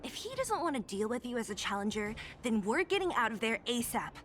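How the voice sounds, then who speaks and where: A woman's voice: fairly high pitch, sing-song, a little fast, fairly bright tone, slight background noise. An actress voicing a blunt, sarcastic young female fighter in video game dialogue. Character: tough, exasperated young female underworld fighter.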